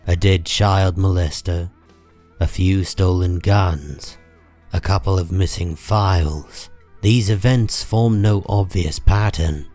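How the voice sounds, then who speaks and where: Deep, slow male narrator. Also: fairly even intonation, darkish tone, soft background music. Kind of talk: scripted dramatic comic book narration.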